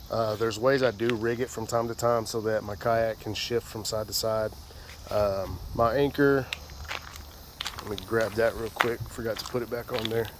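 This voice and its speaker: A man's voice: mid-range voice, background noise. A man with a congested, stuffy voice, casually walking through his fishing gear outdoors in a rambling, folksy way. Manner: rambling, folksy, many fillers. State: congested from a sinus infection. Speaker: fishing vlogger.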